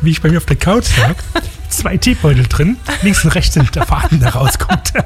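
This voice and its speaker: A man's voice: fairly high pitch, very animated, normal speed, faint music behind. A man from Leipzig with a Saxon German accent and a slight lisp, rambling and joking about himself as a radio host in casual chat. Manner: chatty, rambling, self-mocking, with many fillers and restarts.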